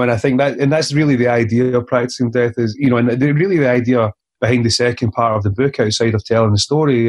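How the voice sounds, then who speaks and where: A man, an author being interviewed, speaking earnestly and haltingly with many restarts. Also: mid-range voice, darkish tone. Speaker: author guest. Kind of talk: reflective interview conversation.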